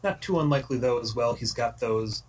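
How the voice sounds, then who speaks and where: A man's voice: mid-range voice, expressive, fairly quick. A man giving casual live analysis as commentator of a card game match. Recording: distant mic with clear background noise, clicks.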